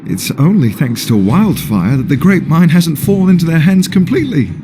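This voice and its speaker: An actor playing a timid, shaken miner in a video game, speaking in a hesitant, halting way. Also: male voice, fairly high pitch, big pitch swings. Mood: aggrieved, shaken. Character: shaken, timid male miner rescued from thugs. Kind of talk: animated game cutscene acting. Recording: music behind.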